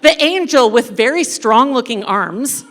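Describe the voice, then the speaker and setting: A woman giving a playful, dramatic live narration of a story, pausing deliberately as she leads an interactive reading. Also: on the high side, animated. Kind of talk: theatrical live scripted narration of a parable. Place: large indoor church space before an audience. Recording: echoey hall with long reverb.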